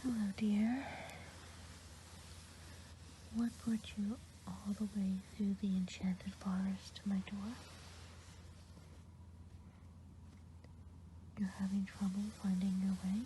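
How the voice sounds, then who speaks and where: A woman's voice: mid-range voice, flat delivery, moderate pace, a little hiss or hum, close to an everyday voice, rough recording. A woman whispering as a gossipy good witch. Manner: whispered throughout; rambling, chatty with gentle hesitations. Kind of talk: ASMR roleplay.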